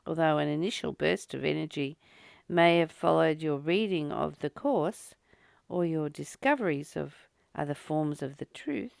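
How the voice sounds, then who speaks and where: A woman reads and reflects on spiritual text at a very slow, deliberate pace. Her voice sits a little low, with plenty of fry in it. She phrases things in measured pieces, as a host guiding a daily study. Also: darkish tone, no room sound, studio quality. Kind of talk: reading a spiritual text aloud with commentary.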